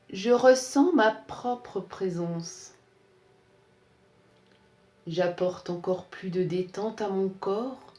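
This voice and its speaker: Female meditation guide, soft-edged mellow tone, calm measured phrasing, recorded with noticeable room echo. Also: medium pitch. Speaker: yoga nidra instructor. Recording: roomy, echoing sound.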